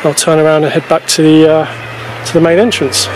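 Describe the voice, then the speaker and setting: A man in his forties talking casually to camera as a wildlife photography vlogger, explaining things in a halting way on an outdoor camera microphone. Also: on the high side, flat delivery. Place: outdoors on a grassy coastal clifftop. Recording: poor camera-mic sound outdoors, music behind.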